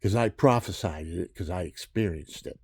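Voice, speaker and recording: A man telling a rambling personal story in a monologue, jumping between loud outbursts and low mutters. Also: normal speed, slightly rough, no echo, studio quality. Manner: calls himself low-voiced.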